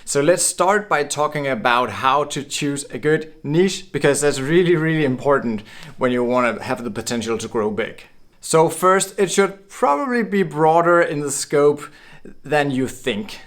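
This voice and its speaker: A man's voice: on the high side, animated, measured pace, fairly bright tone. A man from Denmark giving a casual, upbeat explainer to camera, chatty and chuckling now and then. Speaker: blogging course creator.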